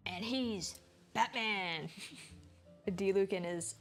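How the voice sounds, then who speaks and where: A woman's voice: mid-range voice, drawn-out words, faint music behind. A woman streaming a game, giving casual live commentary and thinking aloud in a chatty way.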